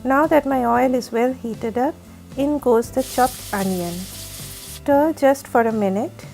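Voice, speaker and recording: Cooking host, female, raspy and heavy from illness, slightly high voice, methodical instructional read. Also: slow pace, a little mellow, soft background music. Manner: careful, step-by-step instructing. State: hoarse from a flu. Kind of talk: recipe voice-over narration.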